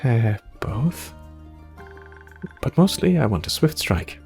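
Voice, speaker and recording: A man's voice: medium pitch, very animated, slow, gravelly, a little mellow, faint music behind, pitched above the performer's own voice, full character voice. A man voicing a sweet, anxious, eager young ranger in a fantasy game, polite and a little hesitant. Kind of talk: reading video game dialogue aloud in character.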